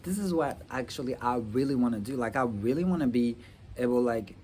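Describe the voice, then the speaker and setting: A young man from Rwanda talking earnestly about his future plans in a personal vlog, with halting restarts and many fillers. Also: medium pitch, lively intonation, darkish tone, a little hiss or hum. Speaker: vlogger. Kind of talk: personal reflective talk to camera.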